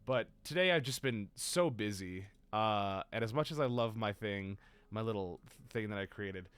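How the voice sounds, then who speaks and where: A young adult man hosting a casual livestream, tired and exhausted, rambling in a playful, self-mocking way, his voice deeper than usual today. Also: lively intonation, moderate pace, fairly bright tone, smooth, no echo. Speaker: stream host.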